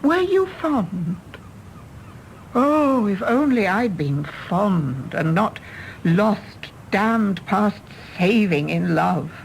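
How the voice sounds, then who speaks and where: An actress playing a wistful, wry middle-aged Englishwoman in a radio play, speaking in a measured, genteel English accent. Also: medium pitch, animated, gravelly, exaggerated voice, low-quality recording. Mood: wistful, regretful. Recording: faint background noise and ambience.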